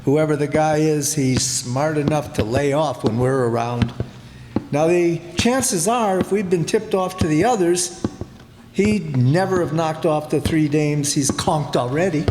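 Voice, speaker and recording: A man acts a level-headed patrolman from a radio crime play. The voice is fairly high and slangy, tough but reasoning, in a reverberant hall with music clearly behind it. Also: a little slow, lightly acted. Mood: steady, chiding. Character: steady, reasoning beat cop, calming his partner. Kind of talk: old-time radio crime drama acting. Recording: reverberant hall stage performance.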